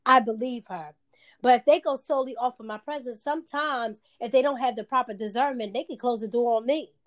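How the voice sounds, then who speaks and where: A woman with a naturally high-pitched voice, co-hosting a casual chat and talking earnestly with emphasis. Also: a little fast.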